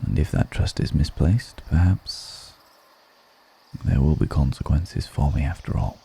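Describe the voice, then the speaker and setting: Vampire lord for ASMR roleplay, male actor: extremely low, dark and gravelly, seductive, solemn, hushed delivery. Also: slight background noise, exaggerated voice. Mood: tender, musing. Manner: slow, deliberate, hushed, solemn.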